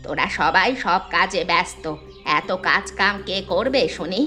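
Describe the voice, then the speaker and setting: A woman's voice: lowish voice, normal speed, sharp tone, soft background music. A woman playing an ageing mother-in-law, bossy yet remorseful, in a plaintive cartoon style. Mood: nagging. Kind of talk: Bengali cartoon voice acting.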